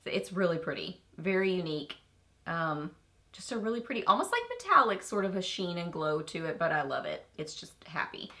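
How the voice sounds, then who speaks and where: A woman with a Texan accent chatting through a casual makeup review, bubbly and easily excited, with rambling asides. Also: fairly low pitch, a little fast, a little hiss or hum. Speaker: beauty vlogger.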